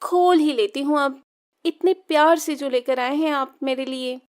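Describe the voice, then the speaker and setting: Cartoon daughter, played by a woman: spirited, high-pitched, whiny and headstrong, unhurried delivery. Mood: sulky. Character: spirited, pouty young daughter, pleading and stubborn. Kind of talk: children's cartoon story acting.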